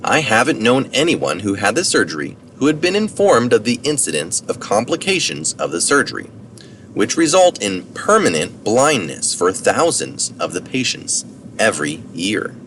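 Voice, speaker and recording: A man with a fairly low voice reads an article aloud, his intonation moving up and down a lot. He takes care over technical words and keeps an informal touch. He sounds some way from the microphone. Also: sing-song, normal speed, a bit bright. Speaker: reader of articles. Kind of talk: reading an article aloud with brief asides. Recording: distant mic with faint background noise.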